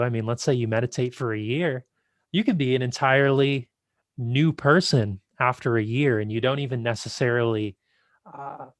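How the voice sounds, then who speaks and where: A man's voice: medium pitch, no echo, studio quality. A man of twenty-five hosting a podcast, chatting in an easygoing, agreeable way with loose fillers. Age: 20s. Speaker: podcast host. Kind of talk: casual interview chat.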